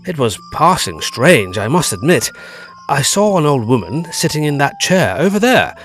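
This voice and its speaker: Bold, inquisitive period gentleman male actor, highish voice with hugely swooping intonation, theatrical audio drama. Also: sing-song, normal speed, faint music behind. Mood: wondering storytelling. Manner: theatrical, old-fashioned, inquisitive. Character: bold, curious gentleman friend, fascinated by ghosts. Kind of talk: period ghost story audio drama acting.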